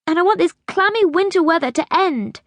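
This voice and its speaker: A woman's voice: very high-pitched, animated, brisk, no echo, voice pushed up. A woman voicing a bitter, insistent young girl in an audiobook story, pleading and accusing with emphasis. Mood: angry, insistent. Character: bitter, demanding young girl pleading with her great-grandmother.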